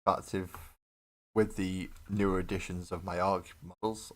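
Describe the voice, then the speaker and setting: Brief: low-voiced man, casual stream host, bit of a cough, chats with viewers. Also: level tone, measured pace. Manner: chatty, laughs often, talks to viewers in chat. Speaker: mini painting livestream host. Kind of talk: casual chat while building models.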